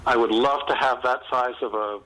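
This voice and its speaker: A man speaking conversationally as an author being interviewed, in a halting, reflective way with thoughts often restarted mid-sentence. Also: mid-range voice, steady tone, sharp tone, poor audio. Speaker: novelist and screenwriter being interviewed.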